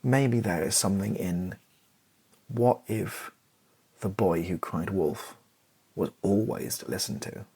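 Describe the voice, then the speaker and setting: British male, deep creaky voice, rambling ASMR writing session, typing behind. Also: warm tone. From Britain. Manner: rambling, self-deprecating, halting as he thinks. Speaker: YouTuber, ASMR video creator. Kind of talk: improvised ASMR writing session, thinking aloud. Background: computer keyboard typing and clicks.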